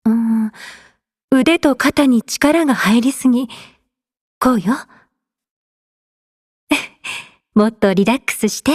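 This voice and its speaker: Refined, higher-pitched young woman, played by an actress. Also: fairly quick, long pauses, a little mellow, no echo, studio quality, close to an everyday voice. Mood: composed, instructive. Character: gentle, devoted young woman, refined and caring. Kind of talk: scripted Japanese game character voice lines.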